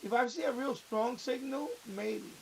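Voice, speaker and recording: A man hosting a casual live stream, muttering halting, repetitive comments to himself as he scans radio bands. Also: very high voice. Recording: hissy, distant microphone.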